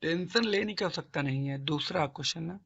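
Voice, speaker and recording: A man works through math problems step by step. His throat is hoarse and sore, and he keeps prompting the class with questions he answers himself. The recording sounds dull and lo-fi. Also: on the high side, fairly quick, fairly bright tone. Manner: coaxing, asks and answers own questions, clears throat. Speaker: math tutor. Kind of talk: classroom-style math lesson.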